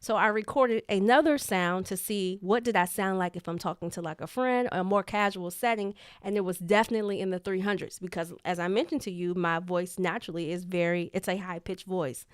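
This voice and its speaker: A woman who is a leadership coach, talking casually and deliberately, repeating key points for emphasis in a voice she has trained to sit lower than its natural high pitch. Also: clean tone, no echo, studio quality. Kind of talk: casual talk to camera.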